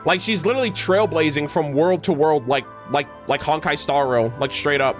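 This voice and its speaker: A man's voice: a little high, normal speed, soft background music. A man hosting a livestream, chatting casually about game lore and reading in-game text aloud in a gamer's slangy way. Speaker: livestream host.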